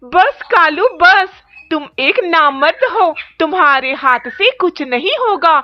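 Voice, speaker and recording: A woman's voice: very high-pitched, animated, slow, no room sound, exaggerated voice. A woman voicing a demanding cartoon crow wife, nagging and reproachful, in a muffled, slightly noisy recording. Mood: scolding. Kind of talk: children's cartoon story dialogue. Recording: muffled lo-fi with faint noise.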